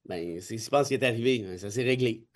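A man's voice: on the low side, a little fast. A man in his forties with a Québécois accent, a constitutional law expert giving his views in emphatic, cynical, colloquial talk. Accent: Québécois French. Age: 40s. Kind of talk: casual opinion talk.

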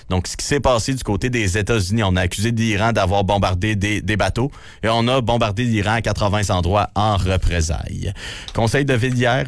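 A man with a Quebec French accent reads the radio news and traffic. His voice sits fairly low. He stays matter-of-fact but drops in casual slangy asides. The sound is close and dry, with no room around it. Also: dry recording.

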